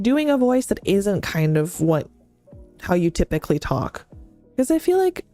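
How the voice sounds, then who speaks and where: A young adult woman streaming as a VTuber, talking in a chatty, rambling way with many fillers and restarts. Her voice is fairly deep. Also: mellow. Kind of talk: casual chat stream. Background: faint background music.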